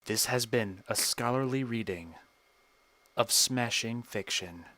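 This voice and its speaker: A man hosting his channel, reading bad fanfiction aloud in an over-the-top, mocking dramatic style with sudden shouts. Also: medium pitch, takes it slowly. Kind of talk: mock-dramatic comedic reading.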